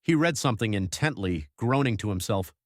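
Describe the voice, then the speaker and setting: A man narrating an audiobook as an earnest young husband reading out his journal in a measured, literary way. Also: fairly low pitch, animated, dry recording, clean studio sound. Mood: sober recounting.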